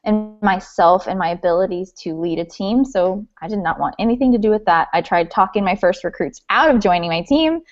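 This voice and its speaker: Woman, late twenties, guest speaker, friendly, chatty, mid-pitched, muffled video call audio. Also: a little fast, few pauses, faint music behind. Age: 20s. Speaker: guest speaker, direct sales leader. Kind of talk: informal training talk.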